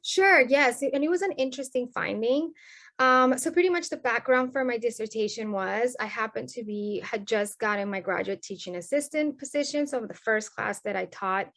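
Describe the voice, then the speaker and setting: A woman's voice: on the high side, normal speed, fairly bright tone. A woman from Colombia with a Colombian Spanish accent, a sociology professor answering interview questions in an earnest, explanatory way.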